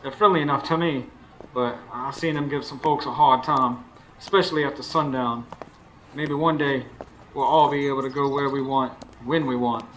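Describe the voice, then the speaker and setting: A man's voice: medium pitch, steady tone, moderate pace, fairly bright tone, close to an everyday voice, rough recording. A man acting as a weary, anxious working husband in a radio drama. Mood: weary, musing. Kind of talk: radio drama acting with first-person narration. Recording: faint background noise, live stage.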